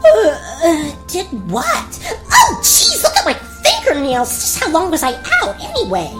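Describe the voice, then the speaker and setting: Cartoon princess, played by a woman: impulsive and exasperated, drawn-out delivery, music clearly behind. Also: extremely high pitch, wildly swooping pitch, exaggerated voice, low-quality recording. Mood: groggy annoyance. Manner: dramatic, blunt. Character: sassy, impulsive young princess, easily exasperated. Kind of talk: cartoon gag-dub parody.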